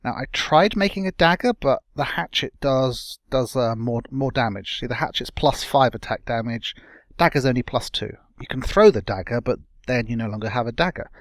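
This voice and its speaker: Slightly croaky man narrating gameplay. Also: mid-range voice, expressive, normal speed, no room sound, studio quality. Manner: rambling, self-correcting, chatty. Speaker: let's play host. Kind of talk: casual gameplay commentary.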